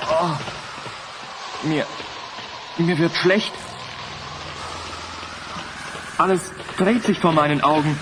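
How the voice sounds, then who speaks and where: An actor playing a simple, slow-talking travel companion in a German children's audio drama. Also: male voice, on the high side, background noise. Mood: queasy.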